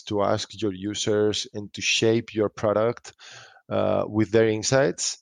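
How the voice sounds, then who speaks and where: A man answering interview questions as a startup founder, thinking aloud haltingly and repeating phrases. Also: on the low side, vocal fry, a little mellow, no room sound. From Spain.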